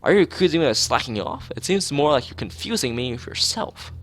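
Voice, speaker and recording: A man's voice: on the high side, sing-song, a little fast, a bit bright, a little hiss or hum, pitched above the performer's own voice. A man voicing a bossy, accusing schoolgirl from a visual novel in an emphatic, scolding manner. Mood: challenging. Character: bossy, accusing schoolgirl speaking for student council.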